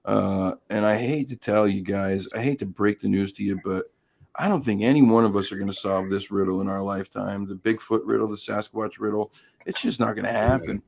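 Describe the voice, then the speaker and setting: A hoarse man hosting a casual live stream, chatty and greeting viewers by name. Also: fairly low pitch, fairly even intonation, fairly quick, a little mellow. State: hoarse, losing his voice.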